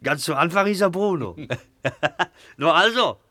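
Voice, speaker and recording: A man's voice: sits high, expressive, unhurried, pushed and bright, no echo, heavily acted. A man playing a jovial, mocking cynic in a German radio play, with a teasing manner. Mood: mocking amusement. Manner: teasing, chuckling. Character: mocking, jovial, cynical male drinking companion.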